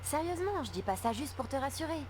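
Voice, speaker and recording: A woman's voice: high voice, big pitch swings, quick pace. An actress playing a young woman, a playful and supportive friend, in video game dialogue. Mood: reassuring. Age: young adult. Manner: teasing, reassuring. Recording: clear vehicle-like background noise.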